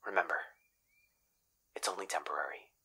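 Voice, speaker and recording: A man playing an anxious, caring young boyfriend in an ASMR roleplay, speaking softly and hesitantly, often in whispers. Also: deep voice, brisk, exaggerated voice. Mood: tender, reassuring. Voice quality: soft, breathy, often whispering. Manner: hesitant, gentle.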